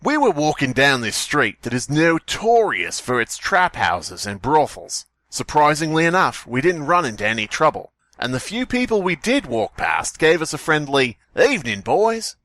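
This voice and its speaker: A man reads a story aloud as a podcast co-host, with a very lively, swinging delivery. He is jokey and sweary and breaks off to mock what he reads. The sound is dry, with no room to it. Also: fairly high pitch, moderate pace, hardly any pauses, no echo. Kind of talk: comic read-aloud with casual riffing.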